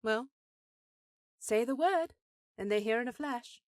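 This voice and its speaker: A woman's voice: on the high side, expressive, a little slow, a little mellow, no room sound, studio quality. A woman playing an affectionate, teasing elf headmistress in a scripted fantasy audio roleplay, composed and slightly formal. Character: powerful elf sorceress sister, affectionate, teasing, dutiful headmistress.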